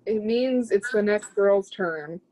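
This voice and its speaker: A woman's voice: mid-range voice, slow, warm tone, close to an everyday voice, rough recording. An actress playing a cool, curt, cagey and sardonic young woman in a stage-play reading. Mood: cool, evasive. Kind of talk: readers theatre stage-play acting.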